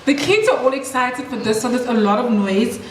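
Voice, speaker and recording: A young woman, chatting bubbly and excitedly through a makeup tutorial on camera in a small room with kids nearby. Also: a little high, brisk. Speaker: makeup vlogger. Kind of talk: casual beauty tutorial chat. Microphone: camera in front, head and shoulders framing. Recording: roomy echo with household noise.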